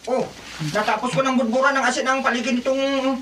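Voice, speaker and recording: Obliging, quick-talking helper Tino. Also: sits high, fairly even intonation, a bit bright. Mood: helpful. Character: obliging helper Tino, an older-brother figure. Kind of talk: horror radio drama acting.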